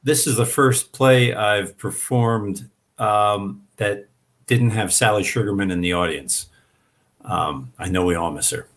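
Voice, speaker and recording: Deep-voiced man, earnest and measured. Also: a bit bright. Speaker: actor in a staged reading. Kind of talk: personal remarks. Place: online video call.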